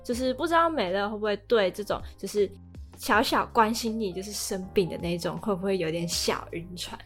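A woman's voice: mid-range voice, brisk, fairly bright tone. Young Taiwanese woman chatting over music. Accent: Taiwanese Mandarin. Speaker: podcast co-host. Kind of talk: casual chat.

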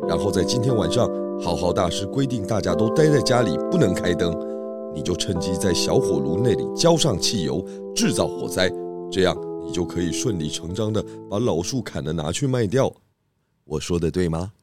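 A calm, self-assured pig detective walks everyone through the case in a lower voice. Music plays clearly under him, as in a children's radio drama. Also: male voice, a little fast. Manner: calm, confident explaining. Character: calm clever pig detective, male adult.

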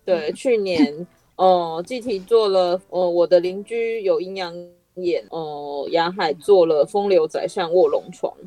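A woman's voice: lowish voice, measured pace, warm tone, dry recording, soft background music, rough recording. A woman with a Taiwanese Mandarin accent hosting a casual podcast chat and putting questions to her guests. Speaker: podcast host.

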